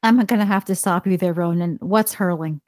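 A woman co-hosting a weekly news show, reading headlines in a clear, even delivery and chatting with her co-host. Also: lowish voice, normal speed, no echo, clean studio sound. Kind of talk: scripted news reading and casual banter.

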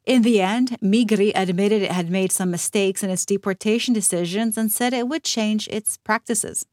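A woman's voice: medium pitch, normal speed, no room sound, clean studio sound. Woman co-hosting a news podcast. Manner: clear, even, scripted reading. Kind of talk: scripted news review.

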